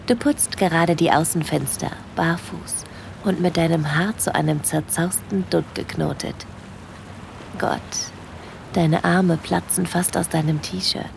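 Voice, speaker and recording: Audio drama lead: shy, infatuated young woman, slow and hushed, slightly low with some fry. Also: steady tone, noisy background. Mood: dreamy, infatuated. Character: shy, smitten young woman on a yacht holiday. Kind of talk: intimate first-person audio drama narration.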